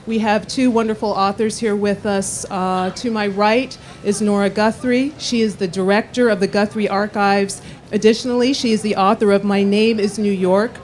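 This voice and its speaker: A woman's voice: mid-range voice, a little mellow. A woman moderating a panel, formally reading out introductions with small hesitations.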